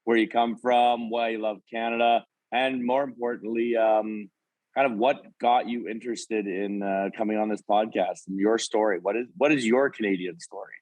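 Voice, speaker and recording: A man's voice: fairly low pitch, fairly even intonation, normal speed, darkish tone, dry recording, low-quality recording. A man hosting a podcast, prompting his guest with friendly questions and short reactions. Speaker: podcast host. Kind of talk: casual interview.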